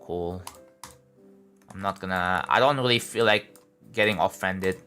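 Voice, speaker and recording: A man's voice: deep voice, a little slow, sharp tone, clear, resonant tone, soft background music. A young man chatting casually on a live stream at home, rambling and laid-back, saying like and bro a lot. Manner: laid-back, rambling, many likes and bros. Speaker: livestreamer building a game level.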